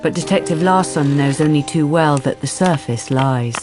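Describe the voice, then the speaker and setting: A woman's voice: low-pitched, normal speed, over music, lightly acted. A woman narrating a radio drama in a composed, scripted storytelling style.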